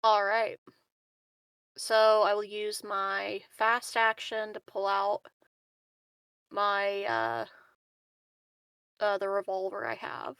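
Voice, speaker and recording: Slow-talking woman with creaky voice. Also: mid-range voice, a bit bright, no room sound, low-quality recording. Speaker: player in a tabletop RPG. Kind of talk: casual game chat, narrating own moves.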